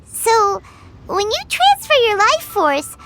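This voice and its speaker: A woman voicing a tiny childlike fairy companion in a video game, excitable and blunt, with a squeaky voice. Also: slow pace, very noisy, full character voice. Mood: suspicious, curious. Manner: squeaky, talks in third person, exclaiming. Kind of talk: scripted video game voice acting. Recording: game music under the voice.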